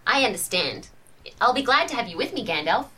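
A woman's voice: fairly high pitch, sing-song, fairly quick, pushed and bright. A woman playing a sarcastic, exasperated young hobbit postgraduate in an amateur comic pantomime. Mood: earnest.

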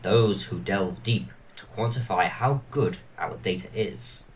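A man acts a wise and solemn elven lord in an amateur pantomime. He intones gravely with weighty pauses, sounding some way from the mic. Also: deep voice, distant mic, slight background noise. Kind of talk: amateur comic pantomime acting.

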